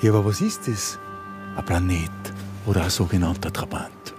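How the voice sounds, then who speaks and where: An actor playing a domineering, sarcastic hijacker with an Austrian accent and colloquial dialect in a radio drama. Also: male voice, deep voice, moderate pace, music in the background, natural voice. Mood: preaching. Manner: bossy, sarcastic, lecturing. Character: domineering hijacker, a conspiracy-minded man holding two hostages.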